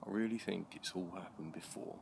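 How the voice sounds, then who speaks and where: A man vlogging casually on a walk outdoors, thinking aloud in a halting, rambling way. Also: sits low, vocal fry. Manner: musing, halting, with unfinished thoughts. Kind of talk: casual rambling monologue while walking. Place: outdoors in a park at night. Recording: noisy outdoor handheld recording.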